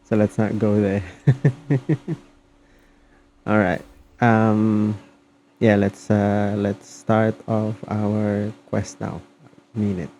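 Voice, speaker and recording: A man giving casual, somewhat halting gameplay commentary as he streams. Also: on the low side, completely flat delivery, warm tone, highs cut off. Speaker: game streamer. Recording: faint background noise.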